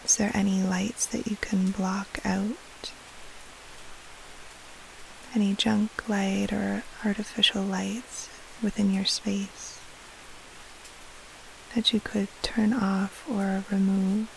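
A woman guiding a sleep meditation in a soft, breathy half-whisper, soothing, with long pauses between short phrases. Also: mid-range voice, flat delivery, low-quality recording. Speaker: meditation guide, podcast host. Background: soft rain-like hiss.

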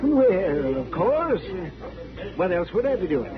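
An actor playing an excitable, high-voiced, tippling frontier town doctor in a 1950s radio western. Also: male voice, slow pace, heavily acted. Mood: testy. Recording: muffled old radio recording, crowd behind.

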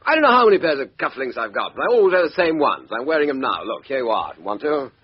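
An actor playing a blustering, indignant English army major under questioning in a 1950s radio drama. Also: male voice, mid-range voice, sing-song, quick pace, breathy edge. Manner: blustering, indignant, rising to panic. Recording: dull lo-fi old radio recording.

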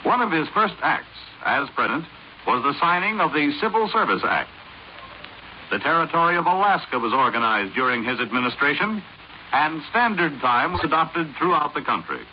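An American man, a radio announcer, reading scripted 1950s quiz narration in a deliberate, presentational way. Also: a little high, very animated. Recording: muffled, hissy 1950s radio recording.

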